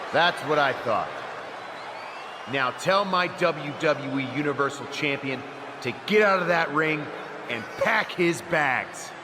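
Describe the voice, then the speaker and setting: Pompous sarcastic wrestling gm in a game, highish voice that swoops around, some noise behind. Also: male voice. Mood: gloating. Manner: pompous, sarcastic, showman delivery. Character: smug, theatrical male wrestling general manager. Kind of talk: scripted video game cutscene acting.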